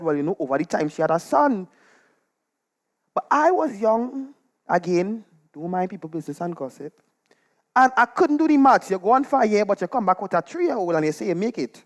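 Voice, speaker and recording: A man with a Trinidadian accent performing a comic, gossipy monologue on stage in dialect with animated, dramatic delivery. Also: high voice. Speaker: storytelling performer. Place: live on stage at a performance venue.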